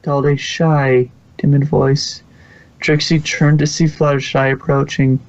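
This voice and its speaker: A man's voice: fairly high pitch, flat delivery, unhurried, a little mellow. A man narrating fan fiction from a script in an informal way, with occasional stumbles. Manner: informal, stumbles and self-corrects. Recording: dull lo-fi recording.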